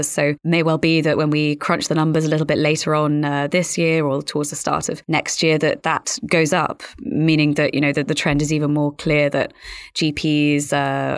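A woman speaking as a podcast host, measured and articulate, in a presenting and interviewing style. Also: deep voice, no room sound, studio quality. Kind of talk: scripted podcast narration and interviewing.

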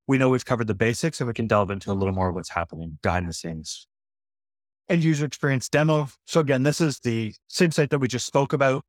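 A man presenting a casual software walkthrough, explaining settings step by step and correcting himself as he goes. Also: mid-range voice, no echo, clean studio sound. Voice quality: self-correcting, conversational. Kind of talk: screen-recorded software demo walkthrough.

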